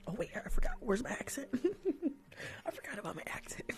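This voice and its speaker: A woman whispering an ASMR roleplay as a rude young nail technician who gossips on the phone mid-job and pops her gum. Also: high-pitched. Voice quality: soft whisper. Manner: catty, dismissive, chatters on the phone mid-job.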